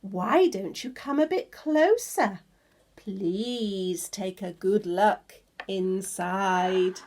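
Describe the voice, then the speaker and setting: A woman's voice: medium pitch, very slow, a little mellow. A woman voicing a sly, grinning shark in a children's picture book, coaxing and drawing out an invitation to come closer. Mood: sly, inviting.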